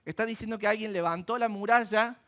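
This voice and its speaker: A man preaching a sermon in church, animated and asking rhetorical questions. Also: high-pitched, quick pace. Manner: animated, acts out quoted voices and asks rhetorical questions. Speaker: pastor.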